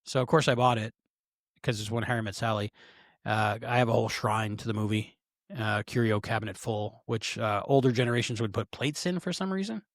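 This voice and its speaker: A man's voice: on the low side, brisk, dry recording, studio quality. A man co-hosting a podcast, chatting casually with offhand, joking asides. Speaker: podcast co-host. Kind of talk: casual review chat.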